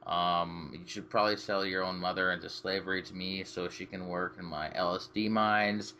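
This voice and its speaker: A man hosting a podcast in casual chat, making crude deadpan jokes. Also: low-pitched, moderate pace. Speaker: podcast host. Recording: dull lo-fi audio with faint hiss.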